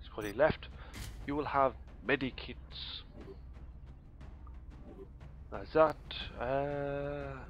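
A hoarse man, casually commentating a strategy game in a rambling, mock-grand way. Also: medium pitch, highs cut off. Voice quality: rambling, self-correcting, mock-grand phrasing. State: hoarse, losing his voice. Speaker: let's play gamer.

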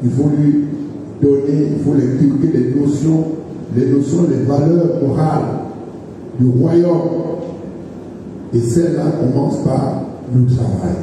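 Middle-aged male preacher from Gabon, slightly high voice, halting sermon delivery, distant in a reverberant church hall. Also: fairly even intonation, background noise. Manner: slow, halting delivery with repeated words and hallelujahs. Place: large church hall, indoors. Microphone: handheld microphone. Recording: echoey hall, voice from afar.

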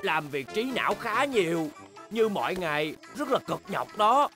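A woman voicing a grumbling, excitable, sleepless young bear in a children's cartoon dub, with a whiny, dramatic delivery. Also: medium pitch, unhurried. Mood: weary complaining. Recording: background cartoon music.

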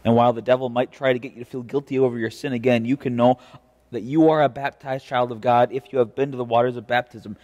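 A young American man preaching a devotion as a pastor, in a measured, earnest and deliberate way. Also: medium pitch, fairly even intonation, darkish tone, muffled recording. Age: young adult. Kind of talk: devotional preaching with scripture reading. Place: indoor church chancel. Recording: reverberant church, mic at a distance.

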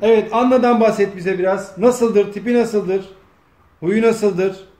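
A man's voice: high voice, fairly even intonation, measured pace, a little mellow. A man, bossy and teasing as he coaches performers and feeds them lines. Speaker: off-camera director of a comedy sketch. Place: home dining room, indoors. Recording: echoey room, faint noise.

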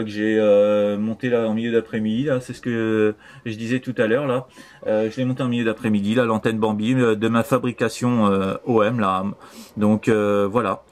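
A man from France with a French accent, chatting casually and repetitively as an amateur radio operator over a transceiver. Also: mid-range voice. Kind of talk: casual radio contact chat. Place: voice over CB shortwave radio link.

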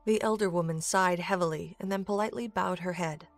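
Woman narrator for an audio drama, lowish voice, soft music behind. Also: a little fast.

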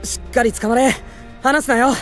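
A man playing a brave, upbeat teenage boy space cadet with rough, hot-blooded speech in a voice drama. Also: extremely high pitch, steady tone, moderate pace, a bit bright, faint music behind. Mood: encouraging. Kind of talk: Japanese voice drama acting.

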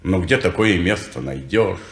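An actor playing a weary, bitter, reserved man in a 1950s radio play, speaking in a halting, guarded way. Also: low-pitched, expressive, vocal fry, reverberant. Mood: brooding, guarded.

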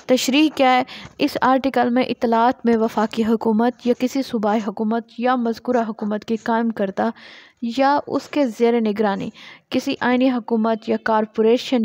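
A woman's voice: a little high, darkish tone, no room sound. A woman with a Pakistani accent giving a law lecture, reading formal text aloud and explaining it by asking and answering her own questions.

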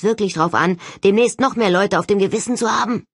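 A narrator voicing an argumentative, sceptical young male ghost hunter who pushes back with forceful sarcasm in an audiobook. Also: medium pitch, fairly quick, dry recording, studio quality, pitched above the performer's own voice, natural voice. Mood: angry, accusing.

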